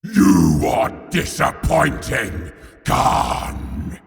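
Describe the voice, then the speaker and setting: An actor in an audio drama playing a huge, brutal, scornful winged demon lord who bellows slowly. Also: male voice, mid-range voice, sing-song, creaky, exaggerated voice. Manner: slow, bellowing, contemptuous. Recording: long cavernous reverb, faint music behind.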